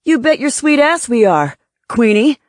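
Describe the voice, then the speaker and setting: A brash movie boss of the storybook kind, played by a woman narrator, blusters and taunts in slang. The pitch jumps well above the reader's own, in a close dry recording. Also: big pitch swings, measured pace, no room sound. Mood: angry, taunting. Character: brash, angry male film mogul with a cigar.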